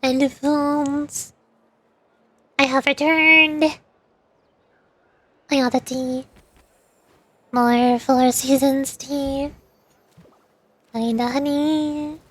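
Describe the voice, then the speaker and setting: Very high-voiced woman streamer. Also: steady tone, very slow, long pauses. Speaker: VTuber streamer. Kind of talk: casual livestream chat.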